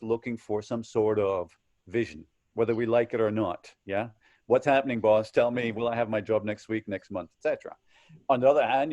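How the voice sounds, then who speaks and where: Fast-talking male panel moderator. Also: mid-range voice, no echo, muffled recording. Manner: energetic, probing, talks quickly. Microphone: video call.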